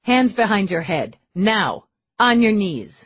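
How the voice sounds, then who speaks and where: A woman's voice: lively intonation, slow, slightly rough, no room sound, lo-fi sound, exaggerated voice. A woman doing a grim hard-edged cop. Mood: commanding. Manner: grim, low and clipped.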